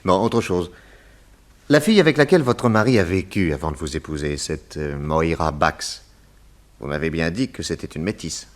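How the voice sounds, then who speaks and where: A man's voice: sits low, moderate pace, echoey room, natural voice. An actor playing a shrewd, authoritative male master detective in a French radio serial, methodical in his questions and explanations. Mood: probing.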